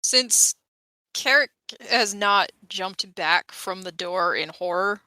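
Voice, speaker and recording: A woman's voice: medium pitch, slow pace, sharp tone, no room sound, poor audio. A woman playing in a tabletop game, chatting casually.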